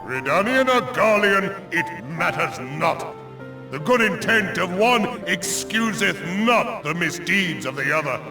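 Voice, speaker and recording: An ancient male deity of storms for a game, grave and judgmental. The archaic lines are declaimed very slowly in a somewhat high male register. The voice rings with echo over music. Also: lively intonation. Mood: wrathful, condemning. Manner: grave, pronouncing, judgmental, deliberate. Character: ancient male thunder god, stern guardian of a forest. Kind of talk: solemn archaic theatrical declamation. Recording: roomy, distant echoing voice under loud music.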